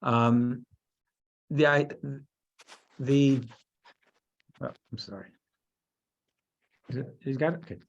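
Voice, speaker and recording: A man's voice: medium pitch, steady tone, takes it slowly, long pauses. An American man from New Jersey, a rabbi teaching a Torah class. He halts often, restarting and repeating words as he thinks aloud. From New Jersey, USA. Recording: dull, lo-fi sound.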